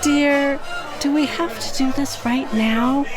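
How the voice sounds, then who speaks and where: An actress playing a genteel, placating mob wife in a period radio drama. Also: female voice, high-pitched, steady tone, slow, music in the background.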